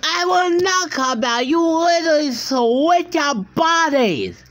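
A performer voicing a furious, high-strung talking train engine with a whiny, exasperated, shouty manner in an amateur cartoon parody. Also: sing-song, measured pace.

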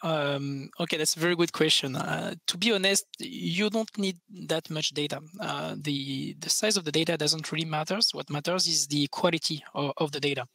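An online tech panel, where a French-accented man with a bright, forceful voice drives his points home close on a headset. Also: a little high, normal speed, vocal fry, few pauses, no echo. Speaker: panelist, tech research expert. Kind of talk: panel discussion answer. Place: open-plan office, indoors. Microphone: headset boom mic.

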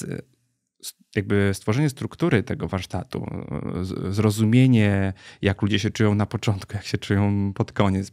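Low-voiced man explaining things thoughtfully. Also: a little mellow, no echo, studio quality. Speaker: podcast guest, brand strategist. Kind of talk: thoughtful interview conversation.

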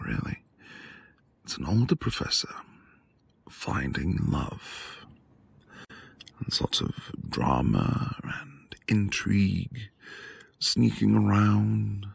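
A man acting as a devoted, flirtatious husband in an intimate ASMR roleplay, breathy and affectionate, often dropping toward a whisper. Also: fairly low pitch, exaggerated voice.

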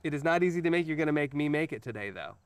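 A man's voice: on the high side, lively intonation, racing, clean tone, no echo. A man in his forties co-hosting a comic cooking show outdoors in a garden, teasing his partner and playing up his own exasperation, recorded on a lapel mic. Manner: teasing, exaggerated, playfully exasperated. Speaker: co-host, TV personality.

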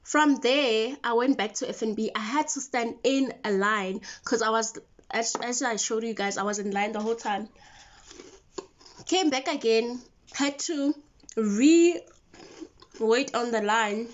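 Vlogger, female, twenties, South African accent. Slightly high pitch, informal chatty delivery, muffled phone recording. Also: a little slow. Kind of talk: casual chat to camera. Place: mostly a bedroom at home. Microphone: phone camera at arm's length. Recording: dull lo-fi phone audio.

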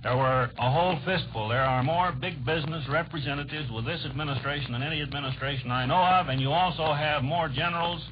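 A man's voice: fairly high pitch, quick pace, hardly any pauses. An American man arguing earnestly as a panelist in a 1940s radio political debate. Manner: earnest, argumentative. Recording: noisy, muffled 1940s radio recording.

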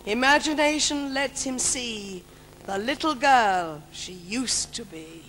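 Kindly fairy godmother, theatrical verse, slow and high, noisy stage recording. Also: expressive, fairly bright tone. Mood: wistful, gentle. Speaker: pantomime actress. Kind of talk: measured theatrical verse recital.

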